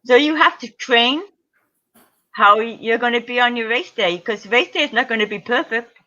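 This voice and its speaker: Middle-aged woman chatting in an interview, bright crisp voice, acts out the people in her stories, kinda dull lo-fi sound. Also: on the high side, moderate pace. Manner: lively anecdotes acting out quoted voices. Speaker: guest ultra-distance triathlete. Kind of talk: casual interview storytelling.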